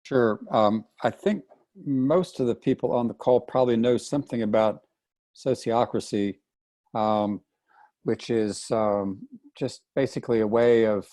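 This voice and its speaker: A man explaining a method on an online call in a measured, hesitant way, with many ums and uhs. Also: medium pitch, warm tone, dry recording. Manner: measured, hesitant, frequent fillers. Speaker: expert introducing the topic. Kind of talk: casual explanatory talk. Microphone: video call.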